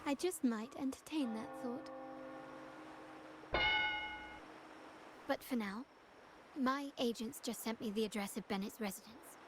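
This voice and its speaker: An actress playing a formal, cool and calculating heiress of a powerful family in a video game, speaking in measured, composed lines. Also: female voice, on the high side, noisy background, lightly acted. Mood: poised, teasing.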